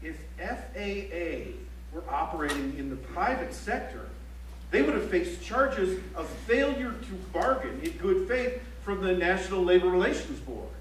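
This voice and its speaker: A man's voice: sits high, lively intonation, normal speed, slight background noise. An actor playing an emphatic male reporter reciting strike news on stage, recorded from a distance in an echoey auditorium.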